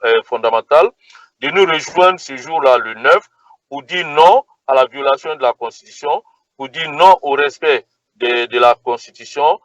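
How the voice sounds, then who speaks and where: A man's voice: mid-range voice, normal speed, fairly bright tone, dry recording, rough recording. A man from Côte d'Ivoire answering interview questions as a protest organizer, speaking hesitantly with many fillers and restarts.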